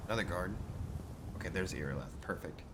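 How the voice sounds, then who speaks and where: A man's voice: sits low, a little fast, very noisy, close to an everyday voice. A man playing a mild, grumbling adventurer in a game, muttering his thoughts in a hesitant, conversational way. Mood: musing. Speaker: role-playing game player. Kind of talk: in-character improvised gameplay narration.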